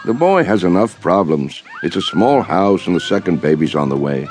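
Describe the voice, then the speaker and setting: An actor playing a gentle, hopeful elderly man in a radio drama, courtly and mild in speech. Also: sits low, sing-song, normal speed, faint music behind. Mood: gently resigned. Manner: courtly, mild, well-spoken.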